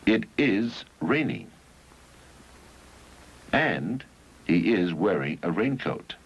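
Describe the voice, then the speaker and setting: A man narrates an educational film in a lowish voice with lively intonation. His delivery is measured and didactic, each phrase set out deliberately. The early seventies soundtrack sounds dull and muffled. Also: husky.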